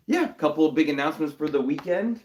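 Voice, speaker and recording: Hoarse upbeat man hosting a live stream. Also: on the high side, animated, fast talker, darkish tone. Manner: upbeat, greets viewers by name. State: a little hoarse from a cold. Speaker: host. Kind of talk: casual live-stream chat.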